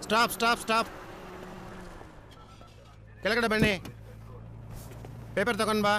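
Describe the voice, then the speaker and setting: A man's voice: high-pitched, little pitch movement, unhurried. A man acting a brusque, bossy traffic policeman. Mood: stern, barking. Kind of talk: film dialogue. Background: music, vehicle.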